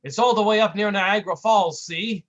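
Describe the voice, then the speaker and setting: A man voicing a gruff, bullying male slapstick partner who talks in snappy tough-guy street slang. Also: high voice, normal speed, muffled recording, pitched above the performer's own voice, close to an everyday voice.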